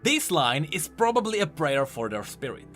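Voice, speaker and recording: Bright, very animated man explaining game lore. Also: fairly high pitch, normal speed, faint music behind. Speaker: video game lore commentator. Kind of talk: enthusiastic explanatory commentary.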